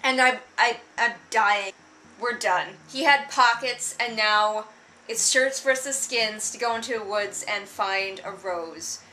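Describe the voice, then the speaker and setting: A woman's voice: fairly high pitch, slow, bright, forceful tone, slight background noise. A woman giving casual, sarcastic commentary, with an exasperated, mocking and emphatic way of talking. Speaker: book reviewer and vlogger.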